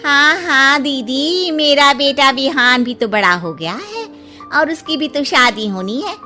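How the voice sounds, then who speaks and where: Woman acting a high, lively, cheerful auntie. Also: measured pace, soft background music, exaggerated voice. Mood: agreeable. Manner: chatty, agreeable. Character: cheerful, easygoing middle-aged Indian mother-in-law, younger sister-in-law.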